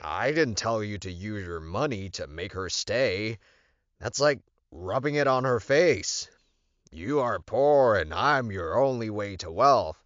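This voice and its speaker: A man's voice: fairly low pitch, expressive, slow pace, fairly bright tone, dry recording, dull audio. A man voicing an exasperated male friend who scolds a werewolf alpha in an audiobook. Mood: angry.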